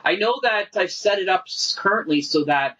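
Enthusiastic guy hosting a podcast, voice a bit on the high side, just chatting. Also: normal speed, bright, forceful tone, low-quality recording. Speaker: podcast host. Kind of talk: casual chat.

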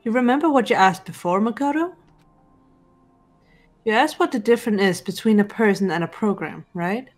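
A woman's voice: mid-range voice, lively intonation, faint music behind, natural voice. Woman playing a composed, serious teenage girl detective. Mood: serious, resolute. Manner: measured, deliberate, serious. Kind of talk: visual novel fan dub voice acting.

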